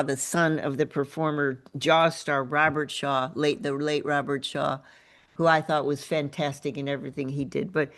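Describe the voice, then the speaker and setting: A woman speaking as the host of an interview, in a conversational style. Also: low-pitched.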